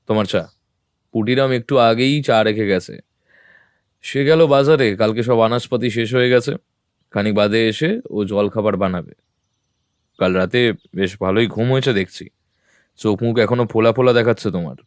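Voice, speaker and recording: A man playing a composed, observant detective in an audio drama, talking in a measured, thoughtful, familiar way. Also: on the low side, no echo, clean studio sound, lightly acted. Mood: relaxed, genial.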